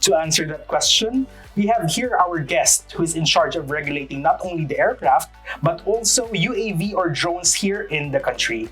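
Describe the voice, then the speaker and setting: A woman's voice: lowish voice, expressive, moderate pace, fairly bright tone, faint music behind, poor audio. A Filipino woman hosting a webinar, polite and playful, switching between English and Tagalog. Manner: mixes English and Tagalog, polite, laughs often. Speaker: webinar host.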